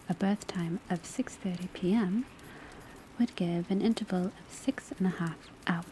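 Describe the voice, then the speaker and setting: A studious witch professor, acted by a woman, gives an ASMR classroom lecture in a soft, breathy whisper. Her tone is dark and gentle, and her delivery is very unhurried and measured. Light rain, a crackling fire and pencil scratches sit faintly behind her. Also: medium pitch, close to an everyday voice. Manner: measured, deliberate, instructional. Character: soft-spoken female witch school divination teacher, patient and studious. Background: crackling fireplace, rain on roof, pencil writing.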